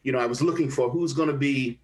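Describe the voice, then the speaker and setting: A man's voice: mid-range voice, fast talker. An older actor from the Kansas City area speaks in an easygoing interview and tells his stories. He often stops and restarts his sentences, repeating words as he gathers his thoughts. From Kansas City area, USA. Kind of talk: conversational interview, storytelling.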